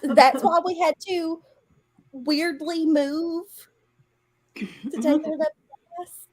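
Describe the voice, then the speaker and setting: A woman's voice: high voice, fairly even intonation, slow pace. A woman giving a dramatic reading of a story, mixed with casual chat among friends. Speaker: guest reader on a live show.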